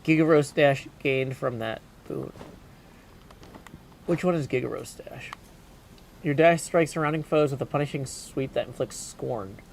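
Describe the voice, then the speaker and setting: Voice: medium pitch, measured pace, gravelly, long pauses. Game streamer thinking aloud over background noise. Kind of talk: casual livestream gameplay commentary, reading game text aloud.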